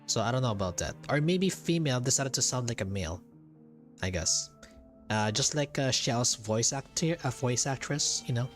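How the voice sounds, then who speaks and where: A male game streamer with a Filipino accent and a medium-pitched voice. He chats and jokes casually, hesitating as he reacts, with faint game music underneath. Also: lively intonation, moderate pace. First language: Tagalog. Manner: joking, chatty, hesitant.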